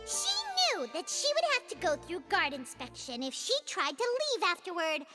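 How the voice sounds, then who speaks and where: An actress voicing a tiny, excitable female fairy companion who tells events with theatrical bounce, over game music. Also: very high-pitched, normal speed, full character voice. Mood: dramatic. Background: game score music.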